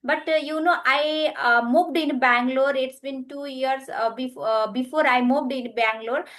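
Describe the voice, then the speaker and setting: Halting woman, high voice. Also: fairly even intonation, a bit bright. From Nepal. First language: Nepali. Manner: halting non-native English, frequent restarts. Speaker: guest English learner. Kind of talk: casual video call chat. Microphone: video call.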